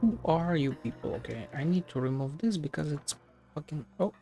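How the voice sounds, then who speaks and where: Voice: medium pitch, animated, moderate pace, warm tone, soft background music. A streamer giving casual gameplay commentary, playful and sweary, mostly muttering to themself. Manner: playful, sweary, talking to self. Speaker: game streamer.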